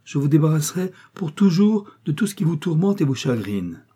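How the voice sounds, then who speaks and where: A man's voice: fairly high pitch, very animated, a little fast, warm tone, husky, pitched above the performer's own voice. A man voicing a fawning young male suitor who makes gushing, theatrical declarations of love in an audiobook reading. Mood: gushing, pleading.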